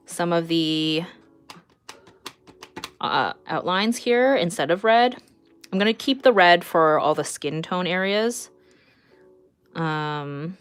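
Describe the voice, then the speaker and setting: A woman in her thirties with a slightly low voice talks in a relaxed, informal livestream style. She is chatty and giggly, moving between reading out viewer messages and answering them while she draws. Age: 30s. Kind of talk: casual livestream chat while drawing.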